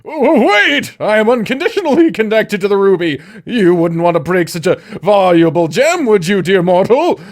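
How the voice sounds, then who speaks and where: A man's voice: sits high, expressive, normal speed, few pauses, voice pushed up. A man voicing a pompous, easily offended tiny male snake demon with haughty, dramatic delivery. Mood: pleading.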